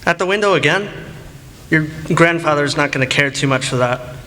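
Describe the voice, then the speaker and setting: Young man acting sensible tutor, mildly stern, slightly high pitch, radio play, reverberant hall. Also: normal speed, sharp tone. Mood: mildly stern, reasoning. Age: teens or young adult. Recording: reverberant hall, clear background noise.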